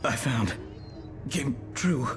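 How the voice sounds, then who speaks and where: An actor playing a badly hurt, frightened park ranger in a video game, gasping out broken phrases in pain. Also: male voice, mid-range voice, lively intonation, very slow. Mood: pained dread. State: in pain, weak, breathless. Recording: loud music over the voice.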